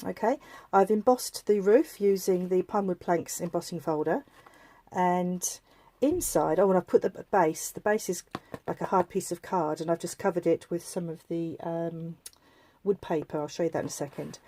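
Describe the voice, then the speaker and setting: Female craft presenter, slightly low voice, coming down with a cold, chatty and meandering, casual how-to delivery. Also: normal speed, mellow. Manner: chatty and rambling, corrects herself mid-sentence. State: has a head cold coming on. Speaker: craft tutorial host. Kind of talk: casual step-by-step craft demonstration.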